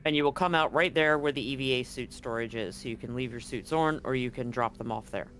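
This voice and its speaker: A man's voice: medium pitch, brisk, a bit bright, a little hiss or hum. A man running a tabletop game, explaining scenes informally and directly to his players. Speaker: game master. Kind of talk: improvised tabletop game narration.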